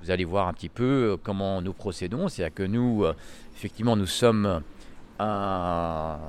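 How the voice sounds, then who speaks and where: Very deep-voiced winemaker from Lebanon. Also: male voice, darkish tone, clear, resonant tone. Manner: hesitant, many fillers. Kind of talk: guided tour interview.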